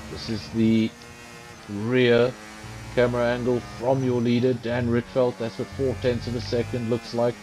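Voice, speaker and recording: A man's voice: mid-range voice, rough recording. A man giving live commentary on an online racing league stream, chatty and self-deprecating, talking straight to his viewers. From South Africa. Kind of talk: live esports race commentary.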